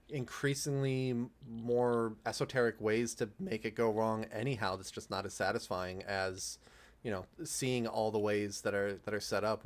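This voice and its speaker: A man talking casually in a group commentary, analytical and discursive, piling up examples as he goes. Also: lowish voice. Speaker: panelist in a cast and crew commentary.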